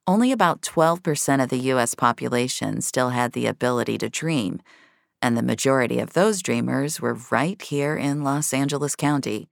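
A woman's voice: extremely low pitch, moderate pace, creaky, dry recording, studio quality. A woman narrating scripted science-fiction drama with a wry, noir storytelling manner.

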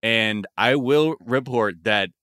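A man in his thirties co-hosting a podcast, riffing in a profane, animated way. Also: mid-range voice, slow, no echo, studio quality. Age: 30s. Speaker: podcast co-host. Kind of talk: casual comedic chat.

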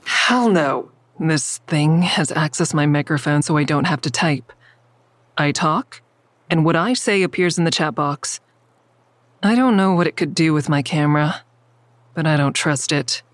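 A woman's voice: low voice, unhurried, no echo. A woman playing a wary, stubborn mother who narrates her risky investigation in the first person in an audio drama. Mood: defiant, then wary, confiding.

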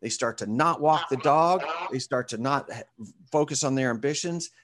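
A man as an interview guest and author, answering with lively storytelling and speaking to a group. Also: medium pitch, moderate pace, crowd noise.

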